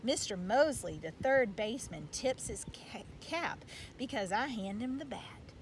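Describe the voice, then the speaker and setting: A woman reading a children's storybook aloud in an expressive narrating style, like a school educator. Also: on the high side, unhurried, far from the mic, slight background noise.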